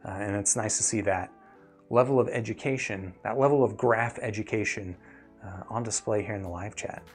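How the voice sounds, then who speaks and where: A man's voice: lowish voice, moderate pace, soft background music. A man hosting a live stream, talking casually and explaining his thinking to viewers in a conversational way. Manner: conversational, explanatory, often checking in with "right?". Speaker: host of an earphone review live stream.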